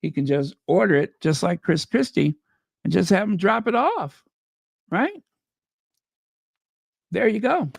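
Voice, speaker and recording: A man's voice: sits high, big pitch swings, a little slow, vocal fry, long pauses, slightly rough, no echo, clean studio sound. A man hosting a casual livestream chat, losing his voice and making sarcastic, mocking asides. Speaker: livestream host.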